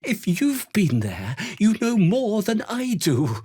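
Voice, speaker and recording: A man's voice: high-pitched, huge pitch swings, takes it slowly, warm tone, slightly rough, dry recording, heavily acted. A British man playing a fussy, self-important and slippery toy maker in a video game, speaking in a haughty, theatrical, wordy way. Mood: defensive. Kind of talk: dramatic video game dialogue.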